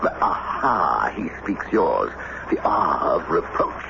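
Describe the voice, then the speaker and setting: Stubborn, pompous American statesman husband, lowish voice, exasperated radio-play delivery, clearly noisy old recording. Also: big pitch swings, slow pace, lo-fi sound, full character voice. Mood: peevish, pompous. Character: stuffy, stubborn, skeptical American male diplomat husband. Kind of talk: old-time radio drama acting.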